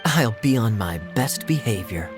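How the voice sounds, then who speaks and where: A man voicing an easygoing, teasing young master thief in a video game, relaxed and reassuring. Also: mid-range voice, a little slow. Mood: reassuring, playful. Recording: music behind the voice.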